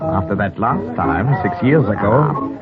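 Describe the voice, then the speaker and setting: Imperious smooth german villain, old radio drama, swoopy delivery, loud music behind. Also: male voice, fairly low pitch, lively intonation, unhurried. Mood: suave, romantic. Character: imperious German officer, suave, possessive old lover. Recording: tinny 1950 radio recording, music behind.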